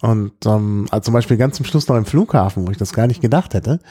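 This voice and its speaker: Ein Mann, der in einem Podcast locker und mit vielen Füllwörtern von einer Reise erzählt. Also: male voice, on the low side, fast, warm tone, slightly rough. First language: German. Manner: chatty storyteller, many fillers. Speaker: podcast host. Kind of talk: casual chat.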